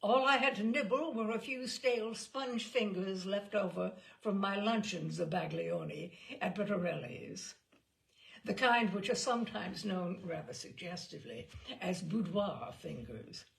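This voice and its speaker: A woman reading a literary story aloud dramatically, with expressive, deliberate phrasing. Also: medium pitch, vocal fry. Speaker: host and reader of short stories.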